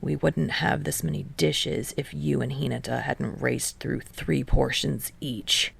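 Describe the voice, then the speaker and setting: Lady reading as a grumpy sarcastic teen boy, low and gravelly, clipped dry lines, audiobook style. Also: fairly even intonation, unhurried, heavily acted. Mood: sardonic. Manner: terse, dry. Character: curt, grouchy, sardonic teenage boy volleyball player.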